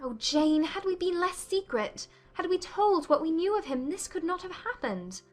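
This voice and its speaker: A woman plays a young Regency gentlewoman in an audiobook drama, with a very high voice. She is earnest, urgent and probing. Faint music sits behind her. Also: big pitch swings, moderate pace. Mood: regretful.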